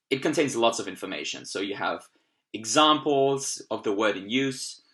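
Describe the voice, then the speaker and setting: A young man from Austria, a German native speaker with an Austrian German accent, gives an upbeat screen-recorded tutorial on language-learning software. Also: fairly low pitch. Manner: upbeat, explanatory, occasional fillers.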